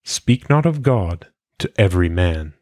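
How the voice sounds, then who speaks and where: A man reading philosophical maxims aloud in a solemn audiobook style, with measured, deliberate phrasing. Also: deep voice, sing-song, a little mellow, dry recording, clean studio sound. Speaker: reader.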